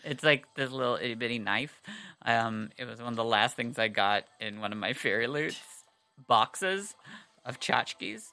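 A woman co-hosting a fan podcast, chatting casually and giggling, her voice a little husky. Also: rumbling low, lively intonation, normal speed, bright, forceful tone. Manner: giggly, chatty, lots of "like" and "I mean". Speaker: podcast co-host.

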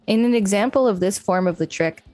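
A woman's voice: mid-range voice, brisk, a little mellow, dry recording, soft background music. A woman co-hosting a podcast, reading an article aloud with casual asides. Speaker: podcast co-host.

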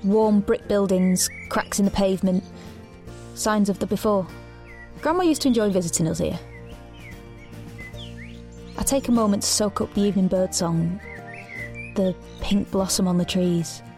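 An actress playing a defiant, protective teenage sister, blunt and sardonic, in an audio drama. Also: female voice, mid-range voice, normal speed, pauses often, dark tone, lightly acted. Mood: reflective. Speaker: lead actor and narrator. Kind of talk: audio drama narration and dialogue. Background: background music.

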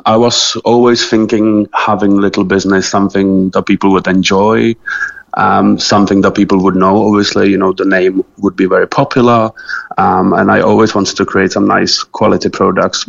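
A man's voice: lowish voice, creaky, highs cut off. A man with a Czech accent, a native Czech speaker, answering casually as an interview guest in a hesitant, modest way. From Czech Republic. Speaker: interview guest, small clothing business founder.

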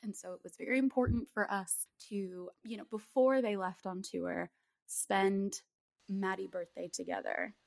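A woman's voice: mid-range voice, steady tone, measured pace, creaky, dry recording. Female podcast co-host, mid-range voice. Playful and mock-dramatic, conversational, recorded dry. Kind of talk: casual podcast chat.

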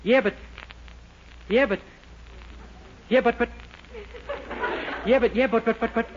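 A high, boyish voice of an innocent, slow-witted young man, earnest and polite in old radio comedy style. The sound is muffled and buried in heavy noise. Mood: flustered. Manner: earnest, innocent, literal-minded. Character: naive, polite, dim-witted young man, easily confused. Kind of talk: 1940s radio comedy acting.

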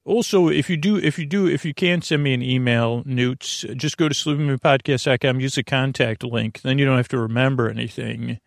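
Creaky-voiced man, lulling bedtime rambler. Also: mid-range voice, fairly even intonation, no room sound, clean studio sound. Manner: lulling, soothing, meandering and halting. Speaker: host of a sleep podcast. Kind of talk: rambling, tangent-filled bedtime monologue.

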